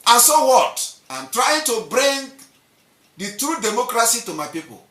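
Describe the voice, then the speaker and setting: A man's voice: very high-pitched, very animated, measured pace, fairly bright tone, distant mic. A man with a Nigerian accent giving an impassioned, repetitive political monologue, mocking and emphatic. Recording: roomy echo, off-mic.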